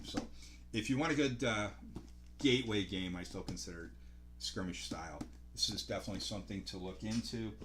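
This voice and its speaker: A man in his sixties giving casual product news to camera as a game store host, in a halting, rambling way, often correcting himself. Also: bright, forceful tone. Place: small home office by a window, indoors. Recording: clearly noisy home recording, low hum.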